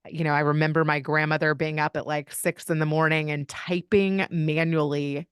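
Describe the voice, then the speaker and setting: A woman's voice: low voice, level tone, moderate pace, clean tone, no echo, studio quality. A woman from Kentucky, a podcast guest, telling family stories in an open, chatty way. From Kentucky, United States. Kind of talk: casual interview conversation.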